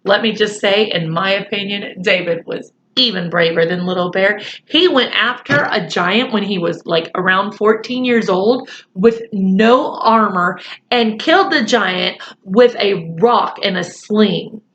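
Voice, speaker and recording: A woman reading a children's book aloud, pausing for chatty asides to her listeners. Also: medium pitch, fairly bright tone, highs cut off, a little hiss or hum. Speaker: storyteller.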